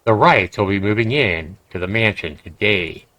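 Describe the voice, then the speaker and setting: A man's voice: on the low side, expressive, normal speed, sharp tone, dry recording, poor audio. A man livestreaming a game, commenting conversationally and emphatically and reading text aloud. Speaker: game streamer.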